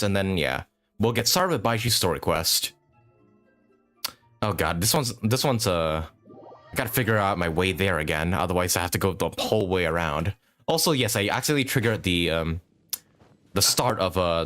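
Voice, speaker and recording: A man giving casual, halting and rambling live commentary while playing a video game. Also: deep voice, a bit bright. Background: video game music.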